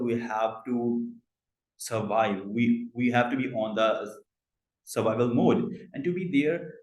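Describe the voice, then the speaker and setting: A man's voice: mid-range voice, echoey room, rough recording. A man from Pakistan hosting an online club meeting, speaking with a stammer and frequent fillers over a video call. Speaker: meeting host.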